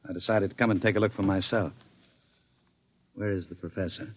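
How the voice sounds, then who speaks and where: Casting: gentleman gangster, low voice, smooth, sardonic, menacing, old-time radio drama. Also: steady tone, a little fast. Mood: suave, mocking. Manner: smooth, sardonic, mockingly courteous. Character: suave, menacing gentleman gangster, mockingly polite. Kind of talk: 1950s radio drama acting.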